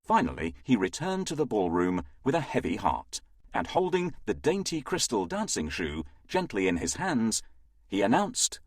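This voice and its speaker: A man reading a scripted children's fairytale as an expressive, dramatic storyteller. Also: lowish voice, normal speed, slightly rough, no room sound.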